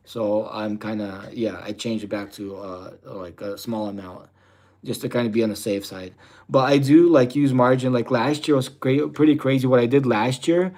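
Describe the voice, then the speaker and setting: A man's voice: medium pitch, darkish tone. A young man from Russia chatting casually on a livestream, answering viewer questions with loose rambling talk full of fillers. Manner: rambling, heavy fillers like "you know" and "type deal". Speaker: livestream host.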